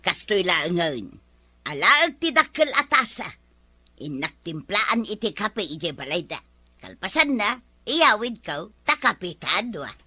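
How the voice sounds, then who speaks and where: Brief: actress as older village nag, bright forceful voice, close dry sound, grumbling and insistent, barangay comedy drama. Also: female voice, mid-range voice, big pitch swings, a little slow, husky, no room sound, exaggerated voice. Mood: scheming. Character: nagging older village woman begging to buy on credit. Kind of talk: comedy radio-style drama acting.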